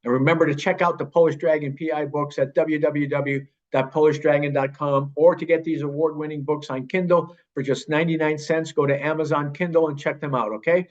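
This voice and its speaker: A man's voice: a little high, fairly even intonation, brisk, hardly any pauses. A man hosting a podcast, reading a scripted show outro. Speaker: podcast host. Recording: slightly dull, muffled recording.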